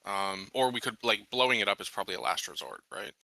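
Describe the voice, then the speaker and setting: A man with a lowish but very bright, sharp voice talks as a player in a tabletop game. He reasons things out analytically in halting phrases. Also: expressive, vocal fry, dry recording, rough recording. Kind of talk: casual chat during a tabletop game.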